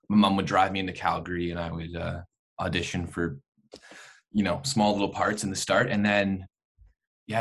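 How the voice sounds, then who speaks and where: A Canadian man speaking as a guest in a casual podcast chat, halting yet enthusiastic in manner. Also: fairly low pitch, a bit bright. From Alberta, Canada. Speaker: interview guest, actor.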